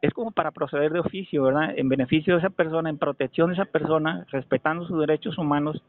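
A Mexican man making a call to argue a point, speaking insistently and haltingly, often repeating phrases for emphasis. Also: a little high. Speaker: caller. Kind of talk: phone complaint, argumentative questioning. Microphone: telephone. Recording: narrow, tinny telephone call recording.

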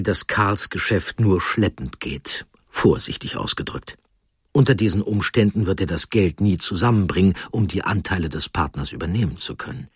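Male narrator for history feature, low husky voice, measured scripted delivery with dramatic pauses. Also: dry recording, studio quality.

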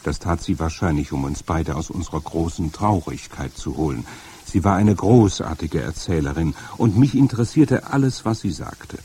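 Male narrator, mellow and husky, very lively, dramatic storybook delivery. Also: low-pitched, moderate pace. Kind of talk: first-person audiobook reading.

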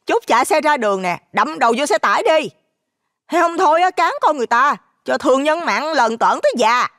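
A woman voicing a sharp-tongued, shameless village busybody in her fifties in a narrated story, with a Southern Vietnamese accent, scolding brazenly. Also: sits high, animated, fairly quick, no echo, clean studio sound. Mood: scornful cursing. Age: middle-aged.

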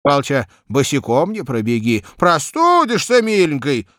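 Storybook young peasant cabman, acted by a man: pampered, rambling, speech, raised pitch with big swings. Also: wildly swooping pitch, normal speed, fairly bright tone, no echo, clean studio sound. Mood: plaintive, nostalgic. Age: young adult. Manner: rambling, folksy, plaintive. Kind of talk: audiobook character acting.

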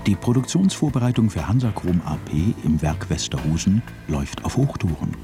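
A man's voice: low-pitched, big pitch swings, fairly quick, over music. A man narrating a radio drama in a matter-of-fact, descriptive way.

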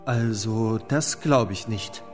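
An actor in a German radio play as a simple, defensive monk who speaks in an earnest, hesitant way. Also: male voice, fairly low pitch, takes it slowly, faint music behind. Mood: uneasy. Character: simple, defensive Dominican monk fond of beer.

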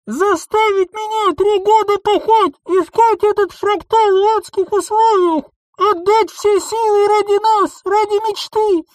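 A male narrator voicing a hateful, betrayed woman shouting from inside a space helmet, her voice muffled and strained. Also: very high voice, no room sound, voice pushed up. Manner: shouting accusations. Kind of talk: audiobook character voice.

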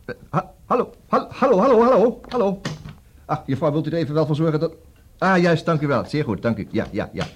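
Busy Dutch lawyer, swooping intonation. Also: male voice, fairly high pitch, very animated, a little fast, a little hiss or hum. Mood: busy, distracted. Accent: Netherlandic Dutch. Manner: hesitant fillers, repeats words, juggles several conversations. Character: busy, brisk, distracted male divorce lawyer. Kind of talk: radio comedy acting.

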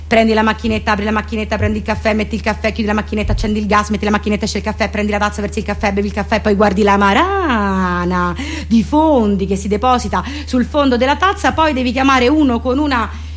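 A woman delivering a comic monologue as a deadpan, colloquial mock explainer. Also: medium pitch, fast talker. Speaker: comedian and monologist.

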